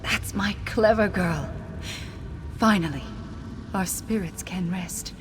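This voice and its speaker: An actress voicing the remorseful ghost of a loving mother in a video game, earnest and sorrowful in measured speech. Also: mid-range voice, background noise, lightly acted. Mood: solemn, resigned. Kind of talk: dramatic video game dialogue.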